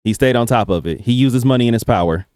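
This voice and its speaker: A man's voice: medium pitch, fast, darkish tone, clear, resonant tone, dry recording. A male reaction host talks casually and bluntly. His speech is slangy and peppered with swearing, and the sound is dry and close, with very little room on it.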